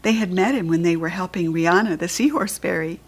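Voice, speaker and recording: A woman's voice: lowish voice, fairly quick. American woman reading a children's story aloud. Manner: clear, expressive read-aloud delivery. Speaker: children's book reader.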